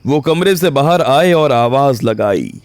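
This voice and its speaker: A man's voice: medium pitch, expressive, slow pace, no echo. A man narrating a scripted audio story in the role of storyteller. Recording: background music under the voice.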